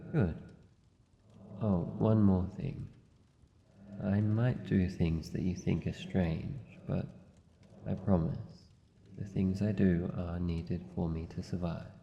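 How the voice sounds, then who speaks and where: An Australian man voicing a solitary shadow monster in a fantasy ASMR roleplay, formal, deliberate, wary but gentle. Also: low voice, steady tone, creaky, heavily acted. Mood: formal, guarded, reassuring. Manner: slow, deliberate, formal phrasing. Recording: dull muffled audio, long reverb, noisy ambience.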